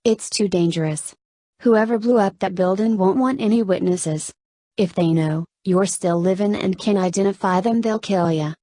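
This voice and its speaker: A woman narrator plays a motherly hospital nurse with a slight Southern lilt, folksy and admonishing. The pitch is average for a woman, in a close, dry audiobook recording. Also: normal speed, mellow, no echo, lightly acted. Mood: urgent, warning.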